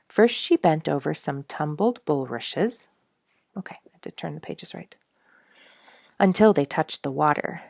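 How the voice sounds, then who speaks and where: A woman reading a children's story aloud as a storyteller, with expressive, dramatic emphasis and some hushed phrasing. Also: low-pitched, creaky, dry recording, clean studio sound.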